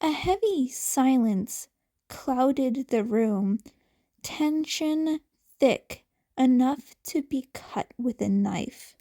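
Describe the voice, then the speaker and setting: Female audiobook narrator for fan fiction, high voice, slow pacing, expressive and animated. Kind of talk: expressive scripted story narration.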